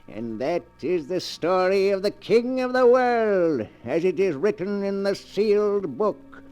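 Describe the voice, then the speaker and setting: A man's voice: sits high, big pitch swings, slow, darkish tone, faint music behind, exaggerated voice. A man playing a sinister, gloating keeper of a book of terror tales, hosting in theatrical 1940s radio style. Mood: gloating, ominous. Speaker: horror anthology host.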